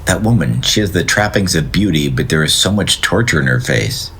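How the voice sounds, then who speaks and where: A deep-voiced plumber talks in a halting, earnest way. His pitch swings widely as he wanders gently off the point. The delivery is natural audio drama dialogue. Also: animated, heavily acted. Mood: hesitant, polite. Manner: hesitant, halting, digressive.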